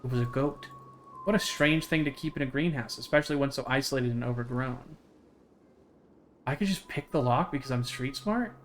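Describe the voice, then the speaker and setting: A man's voice: mid-range voice, brisk, slight background noise. A man livestreaming a game, chatting casually in a jokey, slangy way and reading its text aloud. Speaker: game streamer.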